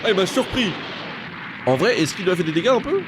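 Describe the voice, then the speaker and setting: A man chatting casually and excitably in slang as he reacts live to an anime. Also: high-pitched, fast, background noise. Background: anime soundtrack and dialogue.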